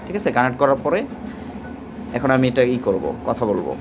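A man's voice: medium pitch, expressive, fairly quick, loud music behind the voice. A man with a Bangladeshi accent giving an informal sales demonstration of a product, repeating himself and mixing in English words. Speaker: product presenter and seller. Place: indoors at a table. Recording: clearly audible background ambient noise.

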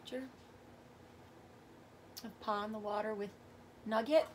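A middle-aged woman reading a children's novel aloud as a teacher does, with expressive narration. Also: mid-range voice, unhurried, slight background noise. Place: indoors, room with bookshelves.

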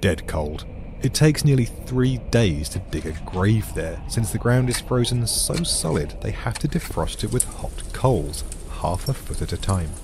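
British male explainer, dry and dramatic, lowish and animated, faint background noise. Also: measured pace. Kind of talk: scripted podcast narration.

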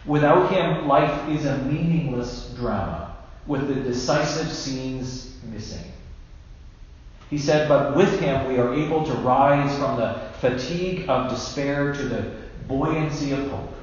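A man in his fifties, a bishop giving a measured, deliberate sermon with emphatic pauses. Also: medium pitch. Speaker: bishop preaching. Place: indoors in a church at a lectern. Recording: very echoey church, dull sound, faint noise.

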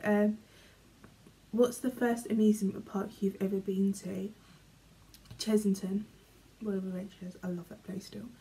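A young British woman vlogging from her bedroom, reading questions aloud and answering in a chatty, rambling way, often trailing off quietly. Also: mid-range voice, level tone, unhurried, low-quality recording. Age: young adult. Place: small bedroom, indoors. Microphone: camera in front, medium framing.

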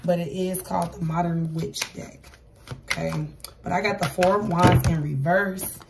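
A hoarse, raspy woman giving a casual tarot reading at a table, chatty and repeating "okay?" to her viewers. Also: on the low side, fairly even intonation, normal speed, far from the mic, very noisy. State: hoarse, voice going for days. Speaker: tarot reader. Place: indoors at a table with cards and crystals. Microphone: camera across the table.

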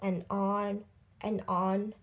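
A woman reading a children's book aloud expressively, with deliberate, dramatic phrasing and lifted voices for dialogue. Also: mid-range voice, little pitch movement. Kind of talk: expressive storybook read-aloud.

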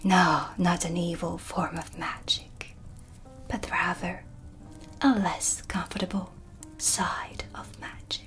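Give the dark witch a lowish, hushed voice , acted by a woman in a cinematic ASMR roleplay. She speaks very slowly and deliberately, mysterious and dryly teasing, close to the mic. Soft music sits behind her. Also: flat delivery. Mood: mysterious, guiding. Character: dark witch, female, mysterious, sardonic, calm teacher of shadow magic. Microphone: close studio mic for ASMR.

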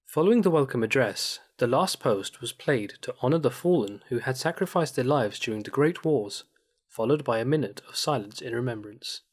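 A man narrating a scripted news report as a studio voice-over, in an even, measured way. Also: medium pitch, husky.

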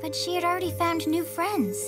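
A woman's voice: very high-pitched, steady tone, a little fast. A woman voicing a proud, easily hurt young girl in a children's cartoon dub, with a dramatic, self-assured way of telling things, over music. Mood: wistful storytelling. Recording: music behind the voice.

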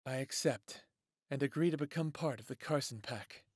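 A man's voice: medium pitch, normal speed, breathy edge, no echo, studio quality, natural voice. A man playing an earnest lone male werewolf who longs for family, speaking sincerely in an audio drama. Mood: resolved.

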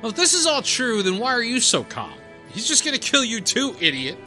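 A man's voice: very high-pitched, big pitch swings, brisk, bright, forceful tone, soft background music, exaggerated voice. A man playing a wary, tough-talking inmate in an audio drama, blunt and sardonic. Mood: alarmed, defiant. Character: wary, tough-talking foul-mouthed male new asylum inmate.

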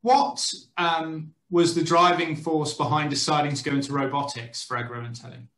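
A man's voice: a little high, fairly even intonation, moderate pace, fairly bright tone, reverberant. A man in his thirties hosting a podcast interview over a video call, casual with many fillers. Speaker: podcast host. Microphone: webcam on video call.

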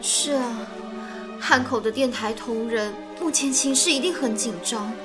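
An actress playing a worried, soft-mannered young woman in a radio drama. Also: on the high side, unhurried, over music, lightly acted. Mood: anxious.

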